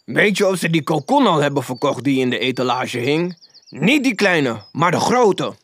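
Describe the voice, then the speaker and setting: A man playing an exclamatory, cheerful old man, lively pitch. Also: normal speed, full character voice. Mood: excited, delighted. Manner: exclamatory, hearty. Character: old man Scrooge, giddily cheerful and generous, mock-gruff.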